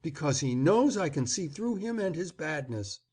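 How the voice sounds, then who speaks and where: A man's voice: very animated, moderate pace, darkish tone, husky. A man reading aloud, voicing a defiant, resentful young boy who speaks coldly and insistently. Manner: cold and insistent, low intensity.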